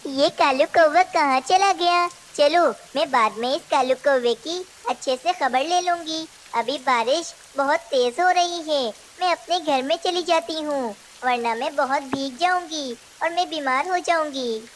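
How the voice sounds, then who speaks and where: A woman's voice: high-pitched, measured pace, hardly any pauses, a little hiss or hum. A woman voicing a small, trusting female bird in a children's cartoon, earnest, plaintive and easily upset. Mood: indignant. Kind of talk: Hindi children's cartoon dialogue.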